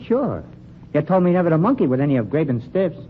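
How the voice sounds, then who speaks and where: A man's voice: fairly high pitch, very animated, fast. A jittery, dim-witted night watchman. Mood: sleepy. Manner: nervous, stammering. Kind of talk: 1940s radio drama acting. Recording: tinny, noisy old radio recording.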